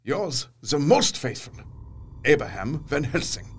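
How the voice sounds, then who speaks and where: An actor playing an effusive, courtly older foreign doctor in a gothic audio drama, with florid, earnest phrasing. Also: male voice, on the high side, very animated, measured pace, background noise. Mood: assuring, emphatic.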